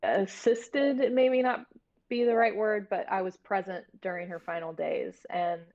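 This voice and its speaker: An American woman from Florida talking casually and candidly as an interview guest, in a halting storytelling way. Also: medium pitch, steady tone, a little mellow. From Florida, United States. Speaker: guest, horror series producer. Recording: dull, lo-fi audio.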